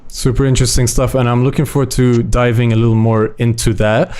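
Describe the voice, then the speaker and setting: A man's voice: medium pitch, completely flat delivery, brisk, a little mellow, clean tone. A man co-hosting a podcast, introducing a guest enthusiastically while showing her website. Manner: upbeat, enthusiastic. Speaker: podcast co-host.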